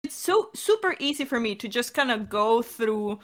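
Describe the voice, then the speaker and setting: A woman's voice: on the high side, very animated, normal speed. A woman speaking informally and animatedly as a podcast director in a casual Q&A, repeating words for emphasis. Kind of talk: casual creator Q&A chat.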